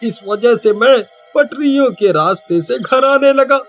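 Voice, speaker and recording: A cowardly village husband, acted by a man, speaks in a strikingly high, slow, panicky voice. He exclaims in melodramatic horror-story style, over music in a dull recording. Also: lively intonation, fairly bright tone, exaggerated voice. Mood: frantic. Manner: frightened, exclaiming, overdramatic.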